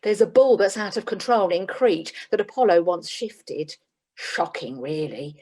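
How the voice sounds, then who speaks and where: A woman's voice: on the low side, expressive, measured pace, a little mellow. A woman playing a businesslike, slightly weary job agent in a comic radio play. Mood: dry. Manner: businesslike, dry, faintly exasperated. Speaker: employment agent.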